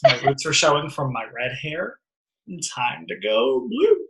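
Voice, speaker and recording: Young american guy podcast host, sassy n campy, super lively pitch, roomy echo. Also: measured pace, a little hiss or hum. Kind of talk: casual podcast chat. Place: indoors on a video call. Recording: roomy echo on a video call.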